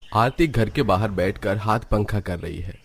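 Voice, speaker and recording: A man's voice: on the low side, normal speed, slight background noise. A man narrating a scripted family story as a storyteller.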